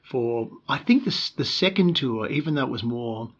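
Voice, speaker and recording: An Australian man with a husky, slightly breathy voice at an average pitch, talking loosely as a podcast guest. He tells long, rambling stories, often restarting his sentences. The audio sounds dull and lo-fi. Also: lively intonation, moderate pace. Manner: storytelling, with frequent restarts and fillers. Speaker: guest, actor and film co-director. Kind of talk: relaxed podcast conversation.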